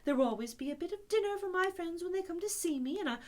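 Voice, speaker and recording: A woman voicing a boastful, excitable male toad who gushes and stumbles over his words. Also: extremely high pitch, quick pace, voice pushed up. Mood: cheerful boasting. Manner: gushing, breathless.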